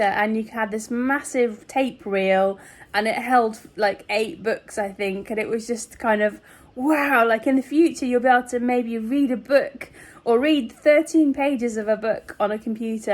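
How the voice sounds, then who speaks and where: A woman vlogger talking in a casual, rambling, chatty and giggly way, like a personal video diary. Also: a little high. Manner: chatty, meandering, often giggly.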